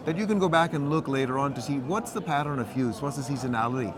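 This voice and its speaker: A man explaining his company's product in a trade show interview, fast and technical. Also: mid-range voice. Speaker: company representative. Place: busy trade show floor. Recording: crowd noise of a trade show floor.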